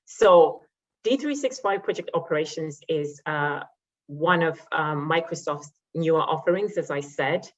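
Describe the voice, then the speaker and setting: A woman's voice: deep voice, unhurried. A woman from Zimbabwe giving a conference presentation as a solution architect, conversational with frequent fillers. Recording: muffled lo-fi audio.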